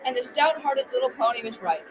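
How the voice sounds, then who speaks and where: A woman's voice: sits high, expressive, a little fast. A girl of about twelve or thirteen narrating a folktale radio drama from a script, occasionally tripping over words. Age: child, around 12-13. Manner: read aloud with stumbles. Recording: noisy amateur school recording.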